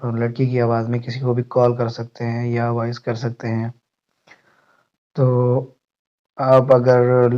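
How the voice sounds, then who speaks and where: Male tutorial host, mellow and fairly monotone, instructional delivery, dull lo-fi recording. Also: medium pitch. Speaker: tech channel host. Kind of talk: app tutorial narration. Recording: muffled, dull lo-fi voice-over.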